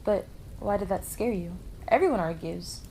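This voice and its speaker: A woman's voice: medium pitch, lively intonation, moderate pace, dark tone, a little hiss or hum, natural voice, rough recording. A woman playing a firm, probing amateur sleuth at a lodge in a puppet show. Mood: calm, probing. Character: firm, reflective female lodge worker turned sleuth.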